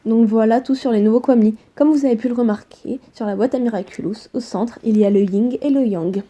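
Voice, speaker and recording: A woman's voice: fairly high pitch, a little fast, dark tone, highs cut off. A woman co-hosting an online fan theory video, explaining and bantering with a partner.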